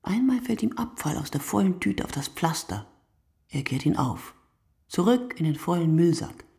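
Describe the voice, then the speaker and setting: An actress playing a weary, observant woman in a radio play monologue, speaking in measured, short phrases with a husky, breathy voice that often drops to near whisper. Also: on the low side, expressive, dark tone, dry recording, clean studio sound, exaggerated voice. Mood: precise, observant. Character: weary, observant woman watching early-morning street from her window. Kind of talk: literary radio play monologue, scripted narration.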